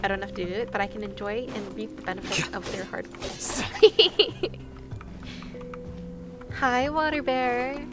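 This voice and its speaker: Gamer girl streamer, woman, kinda high voice, teasing chatty, game music behind her. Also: unhurried, long pauses. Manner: playful, teasing, exclaims in wonder. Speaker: game livestreamer. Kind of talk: casual livestream chat over gameplay.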